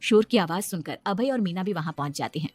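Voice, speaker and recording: A woman's voice: lowish voice, animated, fast talker, a little mellow, dry recording, soft background music. A woman reading scripted story narration, telling a family tale as a narrator.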